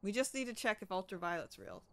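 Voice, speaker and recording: A woman's voice: medium pitch, huge pitch swings, fast talker. A young woman with a slightly stuffy voice, chatting and joking playfully while playing a co-op game at home. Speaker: gamer. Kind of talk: casual co-op gaming chat.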